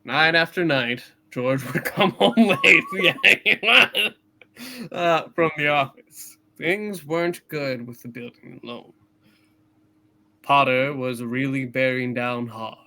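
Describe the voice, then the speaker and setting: A man narrating a scripted play in a hammed-up, deliberate style with dramatic pauses. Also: medium pitch, huge pitch swings, bright, forceful tone, a little hiss or hum. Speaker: narrator in a live play reading.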